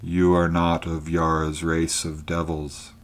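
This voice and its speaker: A man's voice: very deep voice, completely flat delivery, slow. Man voicing ancient sorrowful blind creature. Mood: mournful. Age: very old figure. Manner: hushed, mournful, breathy at times. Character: ancient blind tortured alien elephant-headed creature, male, sorrowful.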